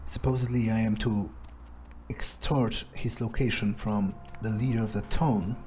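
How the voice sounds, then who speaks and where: Slow, mellow man musing aloud. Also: fairly low pitch, steady tone, slight background noise. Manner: musing, unhurried, deliberate pauses. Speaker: let's player. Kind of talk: in-character game roleplay, thinking aloud.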